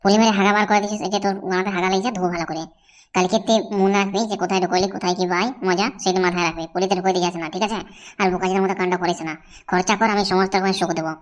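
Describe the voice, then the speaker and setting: A woman voicing a bold, demanding, sharp-tongued village woman in a crude comedy cartoon, with a rural West Bengal accent. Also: mid-range voice, level tone, very fast, lightly acted. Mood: scolding. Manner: scolding, brazen, crude.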